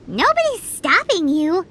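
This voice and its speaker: A woman voicing a tiny, perky, blunt girl companion in an anime-style video game, squeaky and chirpy. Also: pushed and bright, a little hiss or hum, exaggerated voice. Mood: dismissive, protective. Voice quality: squeaky, childlike.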